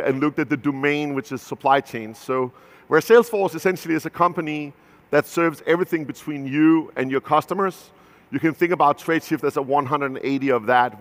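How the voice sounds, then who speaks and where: A man in his thirties with a Danish accent gives a conference keynote as a company founder, talking loosely with many fillers and restarts. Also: a little high. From Denmark. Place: large conference stage. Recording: long hall reverb from a big venue.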